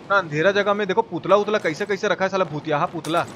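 A man's voice: high-pitched, fast talker. A man giving informal, foul-mouthed live game commentary and talking to his viewers. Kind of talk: live gameplay commentary with chat shout-outs. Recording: clear background noise and game ambience. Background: video game ambience.

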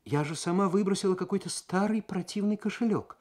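A male reader acts a foreign traveller's wife in a radio story. The voice is raised in pitch, flustered and protesting, with small hesitations. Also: fairly quick, darkish tone. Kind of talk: dramatic radio story reading.

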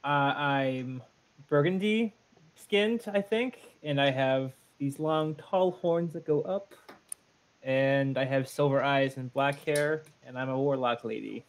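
A player on a video call, unhurried and slightly distracted, describing a character. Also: medium pitch. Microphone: webcam video call.

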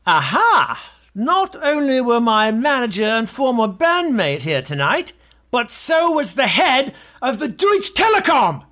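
This is a brash middle-aged British rock star in an audio comedy. His voice rides very high and jumps around animatedly. He is theatrical, sweary and full of himself. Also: wildly swooping pitch, measured pace, sharp tone, heavily acted. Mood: exuberant, boastful. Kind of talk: comedy audio drama acting.

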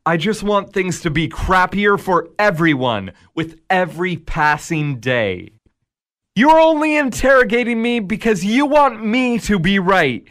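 A smug Devil played by a man in a radio drama, with a fairly high male voice. He is calm and measured, needling and tempting with sardonic deliberation. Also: exaggerated voice.

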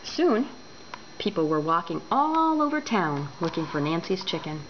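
Woman storyteller, animated intonation, deliberate delivery, dull home recording, background noise. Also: lowish voice. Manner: expressive, deliberate. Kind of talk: children's book read aloud.

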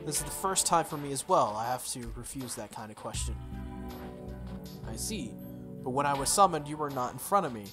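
Music sits under a bossy young woman mage read by a game streamer. She sounds irritable and demanding, a visual novel heroine with an indignant manner and medium pitch. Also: lively intonation, brisk, close to an everyday voice.

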